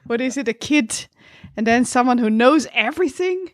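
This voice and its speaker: A woman hosting a casual discussion, chiming in playfully and thinking out loud. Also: a little high, lively intonation, normal speed, no echo, studio quality. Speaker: host. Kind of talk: casual chat.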